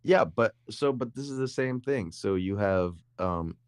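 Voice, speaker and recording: Deep-voiced young man rambling in chat. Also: expressive, a little slow, clean tone, no echo, studio quality. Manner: rambling, repeats words and restarts often. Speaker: podcast co-host. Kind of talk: casual conversation.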